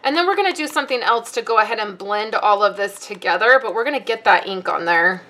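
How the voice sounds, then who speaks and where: Bright-voiced woman teaching a craft. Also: medium pitch, lively intonation, quick pace. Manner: chatty, explains each step as she works. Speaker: card-making demonstrator. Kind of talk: casual step-by-step craft tutorial. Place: craft desk, indoors.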